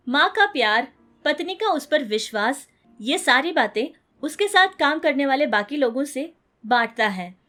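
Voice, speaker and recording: A woman's voice: high-pitched, fairly bright tone. A woman narrating a scripted moral story in an earnest, instructive manner.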